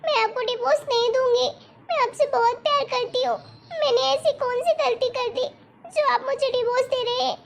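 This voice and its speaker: Very high-pitched whiny cartoon wife acted by a woman. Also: fairly even intonation, normal speed, gravelly, heavy background noise. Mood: pleading. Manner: whiny, pleading, insistent. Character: demanding, dramatic young spendthrift wife. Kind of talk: amateur Hindi cartoon story dialogue.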